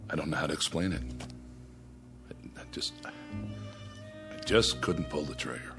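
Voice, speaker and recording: An actor playing an earnest, deferential air force major in a radio drama, hesitant and respectful. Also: male voice, rumbling low, moderate pace, sharp tone, faint music behind. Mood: contrite.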